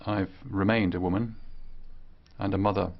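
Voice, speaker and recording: A man interpreting a speech live, speaking in short, halting phrases. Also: deep voice, fairly even intonation, vocal fry, dull audio. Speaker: simultaneous interpreter.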